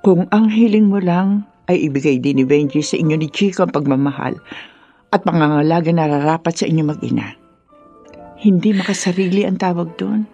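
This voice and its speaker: A husky, caring older mother. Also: lowish voice, fairly quick, dark tone, lo-fi sound. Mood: consoling. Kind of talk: Filipino radio drama acting. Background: background music.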